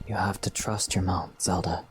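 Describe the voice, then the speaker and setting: Young heroic rider, played by a man: steady, reassuring, slightly deep voice for fantasy audio drama. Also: moderate pace, music in the background, close to an everyday voice. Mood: calm, instructing. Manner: calm, reassuring. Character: calm, protective young swordsman and rider.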